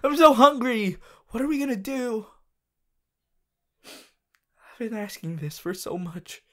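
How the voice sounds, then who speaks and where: Casting: male actor as goofy teenage anime boy, whiny and melodramatic, slow drawn-out delivery with wildly swinging pitch. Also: expressive, sharp tone, heavily acted. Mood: melodramatic despair. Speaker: voice actor in fan audio roleplay. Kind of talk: fan audio roleplay addressed to the listener.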